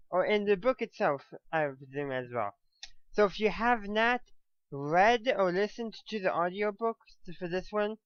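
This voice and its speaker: A man with a fairly high, clean voice talks through a casual review. He rambles and stops short, backing up to rephrase as he goes. The sound is fairly dry and a little dull. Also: smooth, no echo. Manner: halting, often backtracking and rewording mid-sentence. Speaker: book reviewer.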